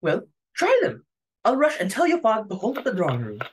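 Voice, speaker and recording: A woman's voice: mid-range voice, wildly swooping pitch, a little fast, slight background noise. Woman acting a fussy society hostess, wildly swooping voice. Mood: excited. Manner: haughty, exclamatory, easily flustered. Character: fussy, snobbish society hostess and domineering mother. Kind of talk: amateur dramatic reading of a stage comedy.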